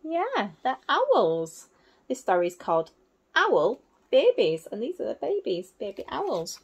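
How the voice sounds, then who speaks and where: Woman telling a slow, lively children's story. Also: fairly high pitch, breathy edge. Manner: simple, expressive, child-directed phrasing. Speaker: teacher reading a picture book to young children. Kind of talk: storytelling to small children with explanatory asides. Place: small indoor room at home.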